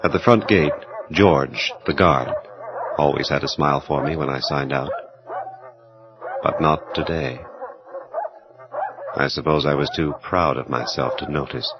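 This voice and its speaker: An actor playing a self-absorbed scientist in an audio horror drama, speaking in formal, precise, clinical phrases. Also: male voice, extremely low pitch, a little slow, long pauses. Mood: wry, reflective. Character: self-absorbed male scientist, coolly clinical, obsessed with his work. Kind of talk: audio horror drama acting with first-person narration. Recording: dull lo-fi audio with faint noise.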